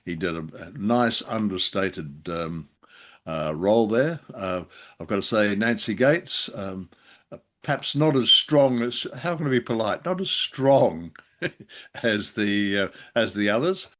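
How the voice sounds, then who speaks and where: A man co-hosting a review show, talking conversationally and critically in a measured, hesitant, polite way. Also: lowish voice, lively intonation, a bit bright. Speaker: podcast co-host reviewing old radio drama.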